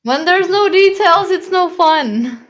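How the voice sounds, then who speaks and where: A woman making quick teasing remarks in a group podcast chat. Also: extremely high pitch, lively intonation, fairly bright tone, highs cut off.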